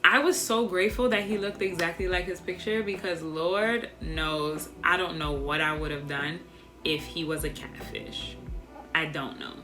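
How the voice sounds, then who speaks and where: A young woman vlogger telling a dating story to her viewers in a casual, chatty way, speaking softly without projecting. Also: on the low side, normal speed, over music. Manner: soft, doesn't project, monotone by her own account; chatty and animated, addresses viewers as y'all.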